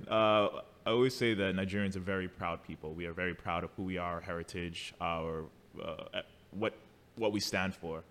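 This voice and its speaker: A man's voice: low-pitched, steady tone, moderate pace, fairly bright tone. A man from New City, chatting casually as an interview guest in an easygoing, good-humored way. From New York City. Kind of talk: casual chat. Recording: long reverberant room echo.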